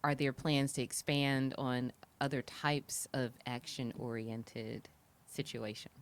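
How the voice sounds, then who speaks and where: A woman hosting a podcast, asking questions in an unhurried, laid-back way. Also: extremely low pitch, little pitch movement. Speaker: podcast host. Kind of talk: casual podcast interview.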